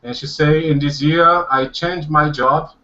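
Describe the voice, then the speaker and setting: A Brazilian man runs a casual group video call with an upbeat, chatty energy. His voice is bright and sits a little high, and the audio comes through dull and lo-fi. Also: steady tone, a little slow. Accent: Brazilian Portuguese. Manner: upbeat, switches between Portuguese and English, laughs often. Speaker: host of a language-practice video call.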